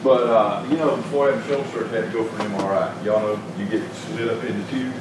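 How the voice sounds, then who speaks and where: Preacher in an echoey church. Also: male voice, fairly low pitch, fairly even intonation, fast. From Georgia, US. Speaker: pastor. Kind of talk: sermon. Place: small church sanctuary, indoors. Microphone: stand mic at pulpit, filmed by far camera. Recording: very echoey church room, distant mic, noisy.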